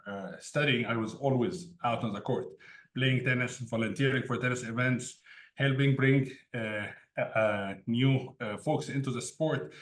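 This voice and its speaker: A man from Egypt, a tech founder telling his story as an interview guest in an easygoing way, often laughing between sentences. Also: mid-range voice. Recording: roomy echo.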